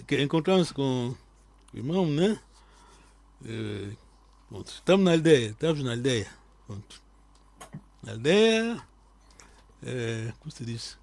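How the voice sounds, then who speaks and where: A male language teacher leading an online class. His voice sits a little high and sounds dry and close to the mic. He is patient, quizzes his students and slows down on each new word. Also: a little mellow, no echo. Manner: patient, quizzing students, repeating key words slowly. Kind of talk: live online language lesson.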